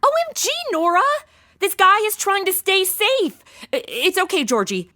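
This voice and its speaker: A woman's voice: very high voice, moderate pace, heavily acted. A woman playing a breezy, sarcastic modern young woman turned sleuth in a comedic audio drama. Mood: exasperated, protective. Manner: slangy, casual, deadpan asides.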